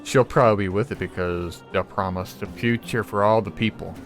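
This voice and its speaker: A man's voice: lowish voice, fairly quick, soft background music. A man giving casual, opinionated and blunt game playthrough commentary, with swearing. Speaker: gaming streamer.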